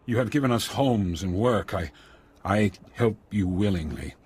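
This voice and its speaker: A halting husky alien chief. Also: male voice, fairly low pitch, expressive, a little mellow, full character voice. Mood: pleading. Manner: halting, broken phrasing.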